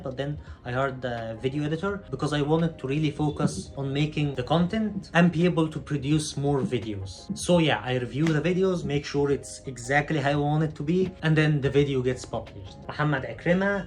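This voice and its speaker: A man with an Egyptian accent talks through viewer questions in a frank, casual way, his voice sitting at an ordinary middle pitch. He often lets the ends of his sentences drop quieter, and a little music plays faintly behind him. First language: Arabic. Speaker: gaming YouTuber answering viewer questions. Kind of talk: casual Q&A chat.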